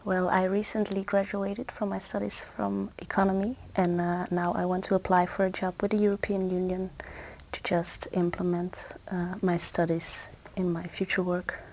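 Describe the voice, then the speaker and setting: A woman's voice: lowish voice, little pitch movement, normal speed, a little mellow, slight background noise, rough recording. A woman in her twenties from Belgium, answering speaking exam questions hesitantly as she searches for words. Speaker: exam candidate.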